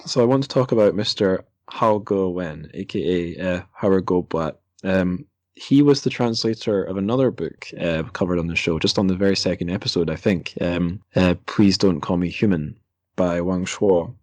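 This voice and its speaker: A man's voice: low-pitched, normal speed, darkish tone, dry recording. A man hosting a podcast, talking in a casual, conversational interview style with an informal, somewhat hesitant manner. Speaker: podcast host.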